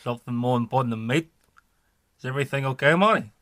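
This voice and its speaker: Comic radio drama: male actor as puzzled working-class dad and loyal pal, bright, forceful delivery. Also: mid-range voice, fast, lightly acted.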